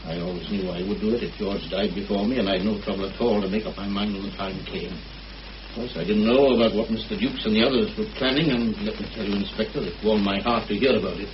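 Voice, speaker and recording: A plain-spoken veteran sergeant, played by a man in a radio drama, firm and unrepentant in a fairly low voice. The old recording is muffled and distinctly hissy. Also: steady tone, fast, close to an everyday voice. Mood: resigned, unrepentant. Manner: plain, homely, firm phrasing. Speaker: actor in a radio play. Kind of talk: radio murder mystery acting.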